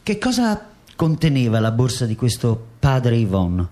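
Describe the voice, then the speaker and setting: An actor playing a composed, formal questioner in a radio drama, speaking in measured phrases. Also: male voice, mid-range voice, very animated, warm tone. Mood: probing. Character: probing, composed male interviewer questioning a bereaved mother.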